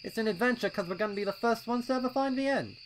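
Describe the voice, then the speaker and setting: A man voicing an eager, adventurous young colt pony in an excitable, insistent way for a story reading. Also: very high voice, fast, slight background noise, voice pushed up, low-quality recording. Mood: excited, bold.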